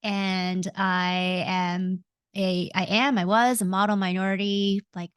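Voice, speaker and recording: A woman in her forties with a Canadian accent talks as a podcast guest, earnest and reflective. She often pauses with ums and corrects herself mid-sentence. Her voice sits in a middle range and the recording is dry and close. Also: mid-range voice, little pitch movement, clear, resonant tone, no room sound. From Hong Kong, raised in Canada. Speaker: guest, coach. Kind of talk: podcast interview.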